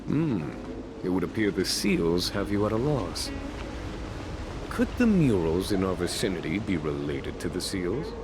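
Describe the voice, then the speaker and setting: A man playing a calm, perceptive commander in a video game, polite and musing, with loud music behind him. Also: low voice, unhurried. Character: courtly, calm, perceptive male commander, thoughtful.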